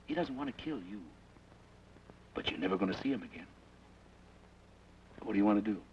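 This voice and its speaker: An actor playing a tough outlaw who talks tersely and gives orders, in a 1940s radio drama. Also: male voice, lowish voice, expressive, a little fast, a little hiss or hum, close to an everyday voice. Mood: grim. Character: tough outlaw gunman, adult man, blunt. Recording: dull old radio, heard from a distance, music behind.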